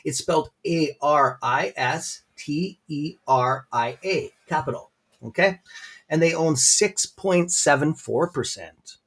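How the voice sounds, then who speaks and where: A friendly Canadian man talks through a livestream in a loose, filler-laden way. His voice sits a little higher than most men's. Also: takes it slowly, fairly bright tone, husky. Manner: rambling, filler-heavy, friendly. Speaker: guest presenter on a stock livestream. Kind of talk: casual livestream chat.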